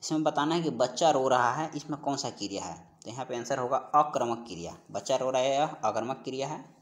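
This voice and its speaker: A man's voice: mid-range voice, a little fast. A man teaching an exam-prep class, explaining practice questions and repeating key phrases as he goes. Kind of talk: instructional lecture, working through practice questions.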